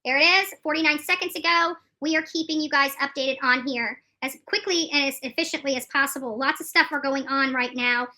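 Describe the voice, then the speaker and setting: Casting: woman live commentator, very bright forceful high voice, opinionated, repeats herself for emphasis. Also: brisk, few pauses. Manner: emphatic, repeats phrases for stress. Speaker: streamer commenting on court news.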